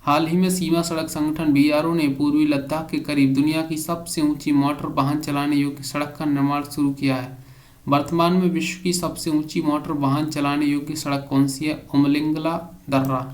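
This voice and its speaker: A man teaches an exam class by reading out questions and answers. His voice sits a little high for a man. The delivery stays very even, with little melodic movement.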